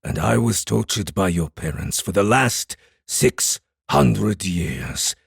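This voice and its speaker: A man playing a menacing, commanding inhuman samurai with a gravelly growl in a fantasy audiobook, speaking in deliberate, formal threats. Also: on the low side, lively intonation, no room sound, clean studio sound, exaggerated voice. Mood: threatening growl. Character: menacing inhuman samurai captor, centuries tormented, furious and commanding. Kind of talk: full-cast fantasy audiobook acting.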